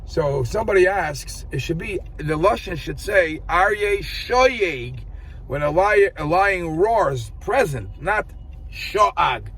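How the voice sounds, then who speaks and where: A man giving an informal Torah talk, telling a story with many halting restarts and fillers. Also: high voice, lively intonation, fairly bright tone, slightly rough, poor audio. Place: outdoors in an early-morning street.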